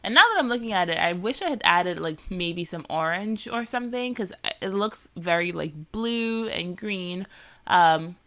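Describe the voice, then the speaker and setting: A woman's voice: lowish voice, lively intonation, normal speed, bright, forceful tone. A woman with a nasal, congested voice from a cold, chatting casually as she narrates her scrapbooking process, rambling with lots of "like" and "um". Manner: chatty and rambling, often saying "like" and "um". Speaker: scrapbooking vlogger.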